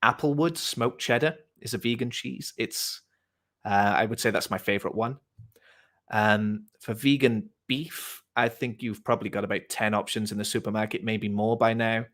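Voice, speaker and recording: A British man with a fairly low voice chats informally, like a host giving advice. He is friendly and encouraging, persuading in an easygoing, casual style. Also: fairly even intonation, normal speed, a bit bright. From Wales. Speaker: host, vegan activist. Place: online voice chat.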